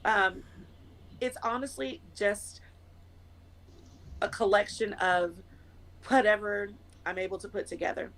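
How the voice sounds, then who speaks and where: Sex: woman. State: slightly hoarse from a cold. Role: interview guest on a video call. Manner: nervous, rapid, rambling, full of ums. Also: mid-range voice, fairly bright tone, slight background noise, rough recording. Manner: nervous, quick, rambling with many fillers. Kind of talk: conversational interview.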